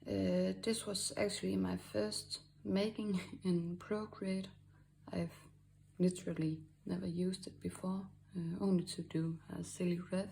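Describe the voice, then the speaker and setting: A woman from Denmark with a Danish accent, hosting a drawing tutorial in a halting way with many fillers and soft asides. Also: lowish voice, little pitch movement, gravelly, slight background noise. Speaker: art tutorial host. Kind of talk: screen-recorded drawing tutorial.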